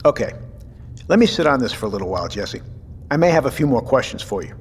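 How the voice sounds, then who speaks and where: Audio drama captain male actor: middle-aged, courteous leader, mid-pitched with lively intonation, long room reverb. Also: breathy edge. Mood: cordial. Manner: measured, thoughtful. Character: measured, courteous male captain and father leading a settlement. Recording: reverberant room with faint noise.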